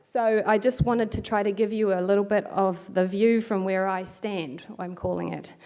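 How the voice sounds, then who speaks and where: Woman, New Zealand accent, middle-aged, keynote lecturer, measured and reflective, mid-pitched voice. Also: steady tone, darkish tone. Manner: measured, reflective, with light self-deprecating asides. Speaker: keynote speaker. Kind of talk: academic lecture.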